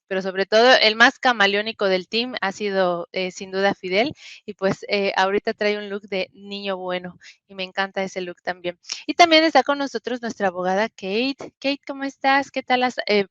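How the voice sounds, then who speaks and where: A woman's voice: medium pitch, normal speed, a bit bright, clear, resonant tone, no room sound, dull audio. A woman hosting a casual online talk show, talking in a friendly, upbeat way with many fillers. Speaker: show host.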